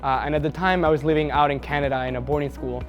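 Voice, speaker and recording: A young man from Mexico City, a university student, gives a relaxed testimonial. His voice sits slightly high, and he speaks casually, filling his pauses with "uh." Soft music plays faintly underneath. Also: highs cut off. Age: young adult. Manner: relaxed, with frequent "uh" fillers. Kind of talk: testimonial to camera.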